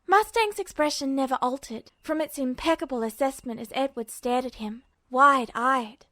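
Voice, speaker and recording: High-voiced woman reading fan fiction. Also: normal speed, pushed and bright, no echo, clean studio sound. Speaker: podfic reader.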